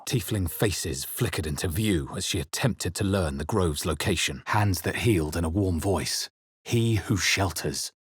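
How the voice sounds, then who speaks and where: A man playing a grave fantasy game adventurer, with solemn, measured, dramatic delivery as he narrates his journey. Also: low voice, breathy edge, no echo, clean studio sound, exaggerated voice. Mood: solemn, intent. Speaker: video game player-character voice.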